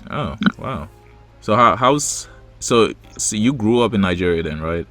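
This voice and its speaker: A man's voice: fairly low pitch, animated, measured pace, a bit bright, soft background music. A man hosting a podcast, asking questions in a hesitant way, often repeating his words. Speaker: podcast host. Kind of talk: casual interview.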